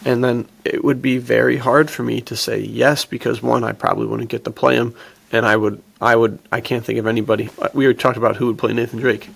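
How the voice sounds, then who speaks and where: A man's voice: mid-range voice, little pitch movement, fast, creaky, husky. A man chatting informally as a podcast host, in slangy talk sprinkled with "dude" and "like". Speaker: podcast host and adventure fiction writer. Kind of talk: casual film discussion chat.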